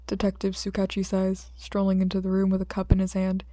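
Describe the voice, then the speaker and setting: Female fiction narrator: expressive scripted read, relaxed mellow timbre, very dry close sound. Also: mid-range voice, fairly quick, dry recording. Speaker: audiobook narrator.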